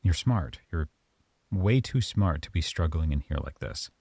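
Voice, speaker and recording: Deep, gravelly male lead for a close-mic ASMR roleplay, dry sound, calm and measured. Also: no echo. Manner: calm, measured, softly stern. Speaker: audio roleplay performer as a professor.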